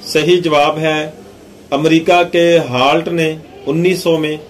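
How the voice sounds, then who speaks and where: A man narrating scripted quiz questions and answers in a measured, deliberate reading. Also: on the high side, level tone, a little hiss or hum.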